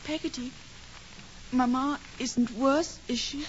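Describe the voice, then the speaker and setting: A woman's voice: sits high, fairly even intonation, takes it slowly. A performer voicing a polite, anxious young boy in a 1950s radio drama. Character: frightened earnest young boy. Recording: dull lo-fi vintage radio recording with hiss.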